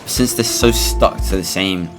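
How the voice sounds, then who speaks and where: A man giving casual, rambling and halting gameplay commentary, blunt and sweary as host of a gaming video. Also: sits low, music in the background.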